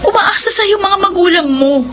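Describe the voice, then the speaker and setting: High-voiced, earnest young Filipina eldest sister. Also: female voice, expressive, normal speed, shouting, muffled recording, over music. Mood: tearful, firm. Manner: earnest, emotional, emphatic. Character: determined, self-sacrificing young breadwinner eldest sister of twenty. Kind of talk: Tagalog radio drama acting.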